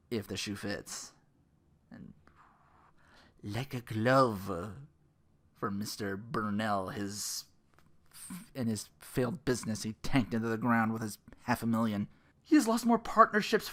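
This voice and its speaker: Give a bright, forceful voice with very lively swings in pitch. It is a podcast host doing casual, rambling commentary. The delivery is sardonic and sweary, slipping into acted-out quotes. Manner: sardonic, profane, animated. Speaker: podcast host and content creator.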